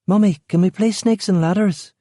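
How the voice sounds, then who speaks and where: A man's voice: high-pitched, moderate pace, dark tone, dry recording, clean studio sound, pitched above the performer's own voice, natural voice. A man reading a story, voicing a whiny young girl.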